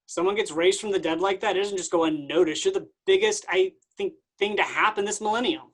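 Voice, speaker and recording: An actor playing a friendly, starstruck bartender with casual, chatty speech in a comic play reading. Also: male voice, high-pitched, brisk.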